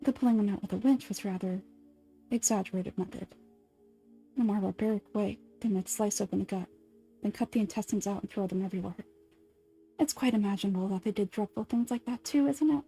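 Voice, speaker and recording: A woman voicing a calm adult nurse in an anime fan dub, speaking in a soft, measured, lecturing way as she dwells on gruesome details. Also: medium pitch, creaky, soft background music. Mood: macabre, relishing. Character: calm adult female nurse relishing grisly history.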